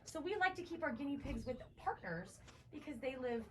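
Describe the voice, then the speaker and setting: Woman shelter guide, high voice, eager and simple explanations for young audiences, recorded at a distance with light background noise. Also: lively intonation, a little fast. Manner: enthusiastic, simple child-directed explaining. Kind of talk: educational virtual tour for children. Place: indoor animal shelter rooms.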